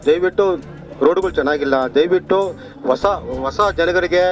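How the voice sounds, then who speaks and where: Pleading man, fairly high voice, outdoors. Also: moderate pace, faint music behind. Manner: pleading, repetitive. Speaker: local resident. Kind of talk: halting street appeal.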